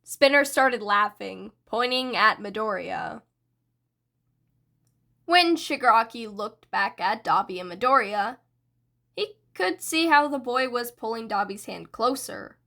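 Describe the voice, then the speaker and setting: A woman reading fanfiction aloud in soft, unhurried audiobook narration. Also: on the high side, lively intonation, creaky. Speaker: fanfiction reader.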